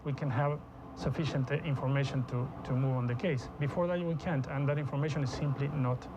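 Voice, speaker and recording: A man's voice: mid-range voice, steady tone, brisk. A man giving explanatory interview answers as a neuropsychiatrist. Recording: dull sound, noisy outdoor traffic ambience.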